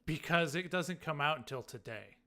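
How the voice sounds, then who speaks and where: A man's voice: fairly high pitch, lively intonation, normal speed, crowd noise. A man co-hosting a podcast, raising objections in casual, contrarian banter. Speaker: podcast co-host.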